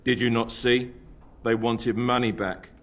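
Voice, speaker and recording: Fantasy game role, male actor: admiring, plain-spoken man with an average-pitched voice. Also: fairly even intonation, a little slow, natural voice. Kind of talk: video game character dialogue.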